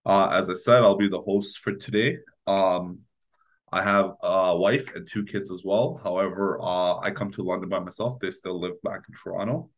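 A man's voice: low-pitched, fairly even intonation, normal speed. A man, around his thirties, moderating an informal panel discussion as its host.

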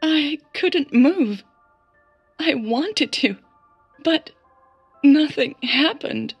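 A woman playing a frightened, shaken young woman in an audio drama, speaking haltingly. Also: high voice, animated, highs cut off, soft background music, voice pushed up, exaggerated voice. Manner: halting, shaky.

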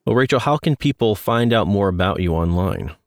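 A man with a very low voice chats casually as a podcast host. The sound is close and dry. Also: lively intonation, a little fast, no room sound. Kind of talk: casual interview chat.

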